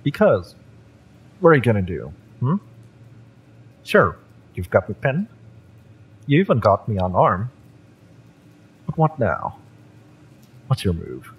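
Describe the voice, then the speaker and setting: A man's voice: fairly low pitch, very animated, slow, long pauses, breathy edge, full character voice. A man playing a cocky, fiery young cyberpunk mercenary in an audio roleplay, smug and menacing as he taunts. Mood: taunting. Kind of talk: dramatic audio roleplay, intimate villain-romance acting.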